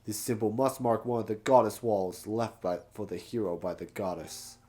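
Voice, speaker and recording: A man voicing an excitable, chatty Goron researcher from a video game, full of exclamations and buddy talk. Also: lowish voice, normal speed, lightly acted. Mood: awed. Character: excitable, chummy Goron researcher of ancient ruins.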